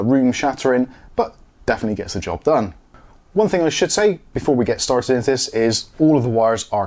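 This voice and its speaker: A man's voice: medium pitch, darkish tone. A man explains a product in a plain, matter-of-fact way, as in a casual video review. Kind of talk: casual tech review narration. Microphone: lapel mic.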